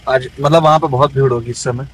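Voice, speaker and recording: A man narrating a casual travel vlog outdoors and from a jeep, chatty and excited, joking with his companions. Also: mid-range voice, fast talker, low-quality recording. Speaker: travel vlogger. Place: outdoors and in a jeep on a bumpy road.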